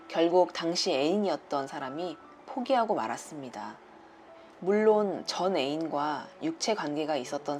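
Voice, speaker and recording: A woman narrating a listener's submitted story in a calm, even scripted read. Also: low-pitched. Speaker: narrator reading a listener's letter. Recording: faint background music. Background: soft music.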